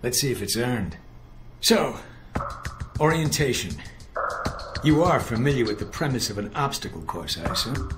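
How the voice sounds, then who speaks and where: An actor playing a stern, sceptical older intelligence instructor in a video game, with a brusque, dry, formal manner. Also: male voice, medium pitch, lively intonation, normal speed, pauses often, natural voice. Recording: echoey room with music behind.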